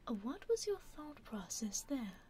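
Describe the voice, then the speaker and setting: A woman's voice: sits high, sing-song, measured pace, a little hiss or hum. A woman whispering as a sly British vampire lady. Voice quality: soft whisper, sly and mocking. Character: teasing aristocratic female vampire, castle owner. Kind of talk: ASMR roleplay. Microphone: binaural mic.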